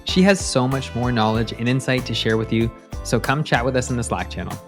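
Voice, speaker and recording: A man's voice: medium pitch, brisk, music in the background. A man hosting a podcast, upbeat and appreciative as he thanks his guest and closes the show. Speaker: podcast host. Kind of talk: interview host.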